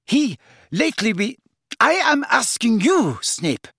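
A male reader puts on a fierce villainess from a storybook, high and jeering. The voice swings widely in pitch, full of outrage and accusation, close and dry. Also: very animated, unhurried, a bit bright, husky, dry recording, exaggerated voice. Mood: jeering, accusing. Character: fierce, jeering, fanatically loyal woman villain.

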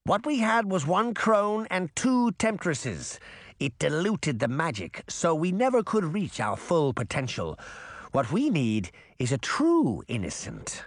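A man voicing an ancient, imperious witch who speaks slowly and with authority. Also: fairly high pitch, wildly swooping pitch, no room sound, clean studio sound, pitched above the performer's own voice, full character voice. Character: ancient imperious female witch, slow and commanding.

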